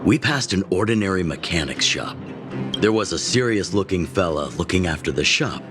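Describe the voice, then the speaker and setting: An actor playing a weathered older fighting master in a video game, a fond and protective mentor who talks candidly, like someone reminiscing. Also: male voice, low voice, animated, moderate pace, over music. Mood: wistful reminiscing.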